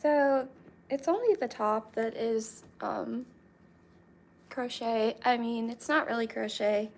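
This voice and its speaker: A young woman chatting casually with her viewers, relaxed and reading comments aloud. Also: fairly high pitch, takes it slowly, creaky. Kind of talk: casual chat with live chat.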